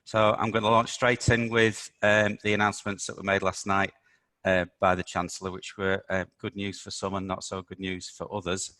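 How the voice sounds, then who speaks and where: Croaky low-voiced man hosting a webinar. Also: level tone, normal speed, pushed and bright, no room sound, poor audio. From United Kingdom. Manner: hesitant, many ums and uhs. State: slightly croaky voice. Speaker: webinar host, accountant. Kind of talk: business webinar briefing.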